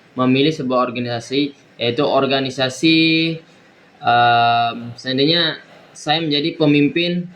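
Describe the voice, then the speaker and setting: A young man, a university student, giving a recorded exam presentation in a halting way with many fillers and restarts. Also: on the high side, a bit bright, a little hiss or hum. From East Nusa Tenggara, Indonesia. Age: young adult.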